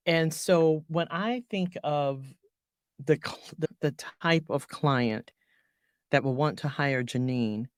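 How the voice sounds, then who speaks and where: A woman voiceover artist talking through her business in an interview, halting often and correcting herself mid-sentence. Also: sits low, no echo, clean studio sound. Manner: halting, many restarts and self-corrections. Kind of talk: conversational business coaching interview.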